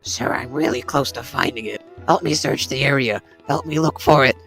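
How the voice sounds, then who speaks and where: A man's voice: medium pitch, normal speed, gravelly, a bit bright, husky, faint music behind. A man voicing a nervous, deferential flying henchman who pleads with his boss in an amateur cartoon dub. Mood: nervous, pleading. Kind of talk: amateur comic dub character acting.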